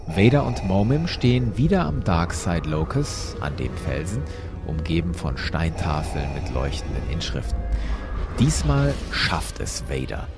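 A man's voice: low voice, big pitch swings, unhurried, music in the background. A man retelling a comic as an audio story, mixing vivid narration with wry, casual asides. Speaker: podcast host and storyteller.

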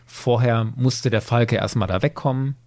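A man's voice: medium pitch, normal speed, a little mellow. A man chatting informally on a podcast, retelling a story and checking in with his partner. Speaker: podcast co-presenter.

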